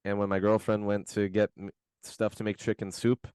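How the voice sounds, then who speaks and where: A man co-hosting a podcast, his voice hoarse from screaming, chatting in a laid-back, slangy, rambling way from his bedroom at home. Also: lowish voice, little pitch movement, moderate pace, darkish tone, no echo. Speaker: podcast co-host. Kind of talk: casual podcast chat.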